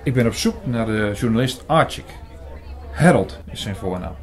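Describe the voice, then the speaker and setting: A man playing an earnest, shy priest who narrates an audio drama in a measured, reflective way, hesitant in dialogue. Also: mid-range voice, animated, some chatter behind, lightly acted.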